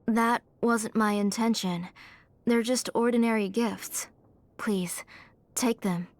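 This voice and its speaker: A woman voicing a gentle, apologetic mother in a video game, speaking in a soft, reassuring manner. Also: fairly high pitch, steady tone, takes it slowly, no echo. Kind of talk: scripted video game dialogue.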